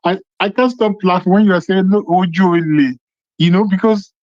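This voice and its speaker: A man's voice: high voice, brisk, darkish tone, no echo. A man with a Nigerian Yoruba accent giving emphatic political commentary as a phone-in caller, repeating points and answering his own questions. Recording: muffled, band-limited phone call.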